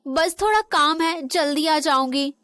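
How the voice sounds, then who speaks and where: Very high bright voice, woman as gentle young daughter-in-law, polite, earnest, cartoon story dialogue, dry sound. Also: unhurried, no echo. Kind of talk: animated moral story dialogue in Hindi.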